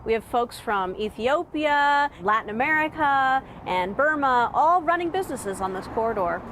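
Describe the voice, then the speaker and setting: A woman with a fairly high voice, talking in an upbeat, explanatory way. She is recorded outdoors on a city street. Clear traffic noise runs behind her. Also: lively intonation, normal speed, a bit bright. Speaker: community organizer interviewee. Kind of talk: news interview soundbite.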